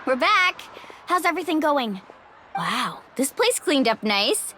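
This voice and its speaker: A woman's voice: high-pitched, very animated, unhurried, sharp tone, a little hiss or hum, heavily acted. An actress playing an upbeat, friendly, bossy young woman in a video game, breezy and colloquial. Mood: cheerful.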